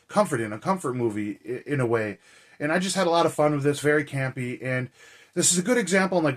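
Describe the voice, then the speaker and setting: A man with a hoarse, raspy, tired voice, hosting a vlog in a casual, rambling, slangy way. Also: mid-range voice. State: hoarse and tired after a cold and shouting. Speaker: horror movie reviewer and vlog host. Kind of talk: casual chat to camera.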